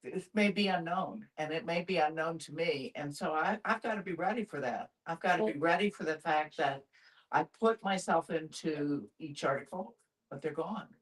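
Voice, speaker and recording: A woman's voice: sits low, normal speed, distant mic, low-quality recording. A woman in a reflective group conversation, musing aloud and circling back over her words. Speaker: discussion group participant.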